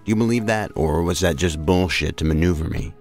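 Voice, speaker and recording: Guy doing a steady fed agent, deep voice, frank and calm, bit of music under. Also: brisk. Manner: frank, reassuring.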